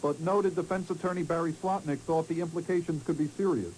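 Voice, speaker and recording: Higher-pitched male news reporter. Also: steady tone, a little fast, darkish tone, poor audio. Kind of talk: scripted report narration. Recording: hissy worn VHS broadcast tape.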